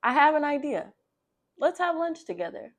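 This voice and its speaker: A woman's voice: high voice, very animated, normal speed, voice pushed up. A woman reading the part of an earnest, kindly little girl in a storybook. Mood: eager.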